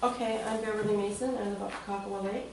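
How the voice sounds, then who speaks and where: A woman, a local resident, speaking earnestly at a public meeting, pausing often with ums. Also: mid-range voice, fairly even intonation, background noise. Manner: earnest, hesitant with frequent ums. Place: council chambers. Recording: echoey chamber, distant mic.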